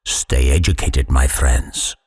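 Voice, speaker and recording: A man with a booming announcer voice, pitched extremely low. He reads a short scripted sponsor line slowly and with weight. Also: steady tone, darkish tone, slightly rough. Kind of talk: scripted promo voice-over.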